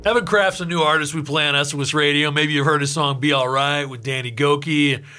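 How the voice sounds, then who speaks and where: A man hosting a radio interview, introducing his guest in a brisk, upbeat way. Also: on the high side, fairly even intonation, over music. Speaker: radio host.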